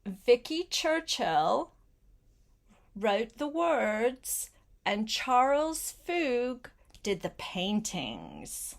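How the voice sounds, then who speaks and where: Older woman reading slowly to a child. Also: a little high, huge pitch swings, creaky. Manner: gentle, simple child-directed talk with questions and short phrases. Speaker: grandmother reading aloud to a child. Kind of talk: read-aloud picture book storytelling with asides to a young child.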